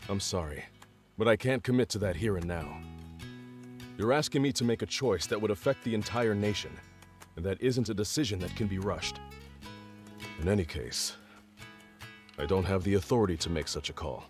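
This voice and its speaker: An actor voicing a dutiful, middle-aged army general in a video game, with formal military phrasing. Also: male voice, fairly low pitch, moderate pace, pauses often, faint music behind, lightly acted. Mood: apologetic, firm. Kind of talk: English video game voice acting.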